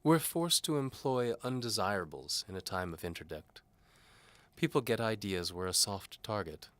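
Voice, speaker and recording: Man acting a high-pitched, pompous, menacing bishop. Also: lively intonation, moderate pace, fairly bright tone. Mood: smugly patronizing. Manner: formal, condescending. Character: pompous, menacing bishop interrogating a prisoner, patronizing. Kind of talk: audio drama character acting.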